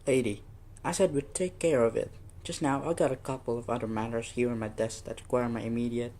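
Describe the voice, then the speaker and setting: A man's voice: medium pitch, steady tone, a little fast, a little mellow, natural voice. A man playing a weary, patronizing police desk officer brushing off a caller in a radio suspense play. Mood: dismissive. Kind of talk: old-time radio suspense play acting.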